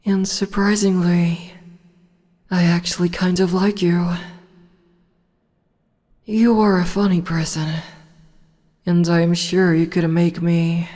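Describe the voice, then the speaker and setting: A woman voicing a regal, amused female demon empress in an ASMR roleplay, talking softly and teasingly with whispered asides. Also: on the low side, little pitch movement, takes it slowly, long pauses, in a large hall. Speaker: voice actor.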